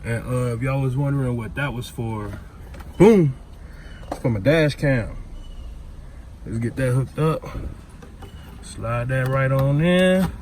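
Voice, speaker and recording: A man's voice: medium pitch, slow pace, pauses often, a little mellow. An American man with an informal, slangy way of talking, chatting casually as he demonstrates a hands-on job for a vlog. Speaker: vlogger demonstrating a DIY car install. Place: in or around a vehicle. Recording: clear background noise, vehicle ambience.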